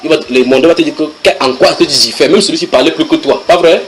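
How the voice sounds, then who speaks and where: A man preaching a sermon to a congregation, reading English passages aloud and translating them with lively asides to his listeners. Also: a little high, fast talker, slight background noise. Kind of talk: sermon teaching.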